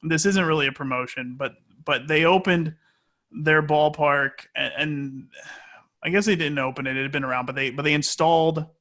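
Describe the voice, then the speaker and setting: A man with a fairly high, bright voice gives casual interview answers. He sounds like a sports announcer off duty, hesitating and working out his thoughts aloud as he goes. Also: normal speed. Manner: hesitant, thinking aloud with frequent stalling.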